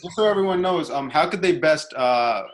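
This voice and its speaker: A man with a slightly high voice hosts a casual interview. He is encouraging and a bit hesitant in his phrasing, with faint noise on the recording. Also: animated, normal speed.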